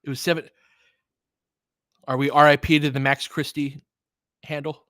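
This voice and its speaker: A man's voice: a little high, flat delivery, normal speed, a bit bright, no room sound, studio quality. A man giving casual live basketball play-by-play, chatting conversationally as a partisan fan in short, clipped phrases. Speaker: basketball play-by-play host and commentator.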